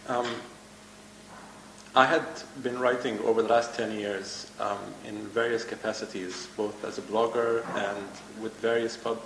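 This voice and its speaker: A man from Egypt, a native Arabic speaker, answering interview questions on stage in a halting way, often repeating words. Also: on the low side, flat delivery, a bit bright, slight background noise. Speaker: guest cartoonist. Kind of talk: live event interview. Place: event hall with audience. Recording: echoey room with faint ambience.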